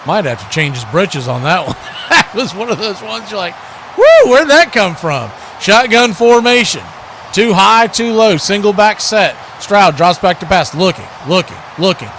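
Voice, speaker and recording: An American man giving live play-by-play football commentary in a folksy, animated way, full of homespun exclamations and bursting into loud calls. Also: high-pitched, normal speed, a little hiss or hum. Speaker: play-by-play sports commentator on a radio watch party.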